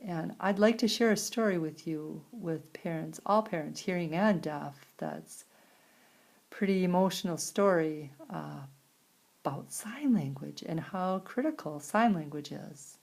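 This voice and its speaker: A woman with an American accent and a creaky voice, interpreting a signed personal story aloud in halting phrases with pauses. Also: on the low side, darkish tone. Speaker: sign language interpreter voicing a signer.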